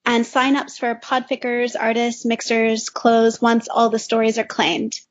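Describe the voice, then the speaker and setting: A woman's voice: a little high, monotone, unhurried, dull audio. A woman co-hosting a podcast, chatting casually. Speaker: podcast host.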